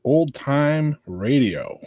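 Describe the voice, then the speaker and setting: An American man casually hosting a livestream in a low-key, halting way. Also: expressive, mellow, no echo. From southern Virginia, United States. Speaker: livestream host.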